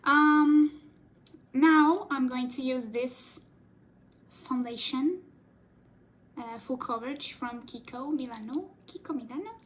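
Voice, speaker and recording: A woman's voice: sits high, slow, pauses often. A young woman whose first language is Portuguese, casually rambling and joking while doing a makeup tutorial, often correcting her own words. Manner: rambling, playful, self-correcting, giggly. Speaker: cosplay makeup vlogger.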